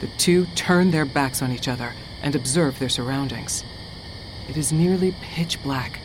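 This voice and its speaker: A woman narrating a scripted story in a measured, descriptive storytelling manner. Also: extremely low pitch, noisy background.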